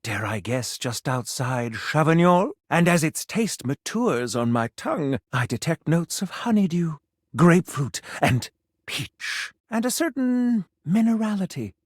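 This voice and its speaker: A man's voice: on the high side, sing-song, a little slow, no room sound, studio quality. An actor voicing a pompous, theatrical male food critic for a video game, speaking in a florid, haughty way and savoring each word. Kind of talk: video game character acting.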